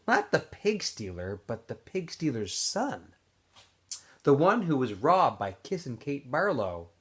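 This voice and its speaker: Expressive male teacher reading aloud. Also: mid-range voice. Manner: casual, chatty recap with fillers, then expressive reading. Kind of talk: read-aloud of a novel for students.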